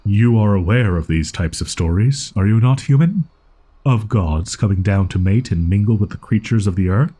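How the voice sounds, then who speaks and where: A man's voice: on the low side, normal speed, mellow, breathy edge. A man voicing a proud, easily flustered young wolf-boy in an audio roleplay, speaking in haughty, archaic, formal phrasing. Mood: proud, scornful.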